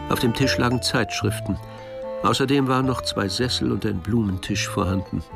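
Male narrator, radio mystery. Lowish pitch, creaky texture, composed literary read. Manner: measured, literary storytelling. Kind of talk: scripted narration of a radio crime drama.

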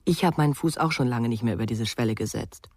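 A frank, probing woman in a radio crime drama. Her voice is husky and closely recorded with a dry sound, and she questions in a sceptical, pointed, reproachful way. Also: extremely low pitch, quick pace, a little mellow, no room sound. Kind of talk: 1990s German radio crime drama acting.

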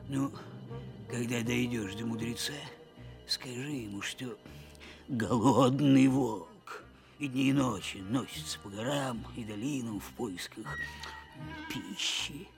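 A man's voice: mid-range voice, takes it slowly, gravelly. A man playing a hungry, pleading wolf in an audio fairy-tale play. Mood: plaintive, weary. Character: hungry male wolf, slow-spoken, pleading wayfarer's beast. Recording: music behind the voice.

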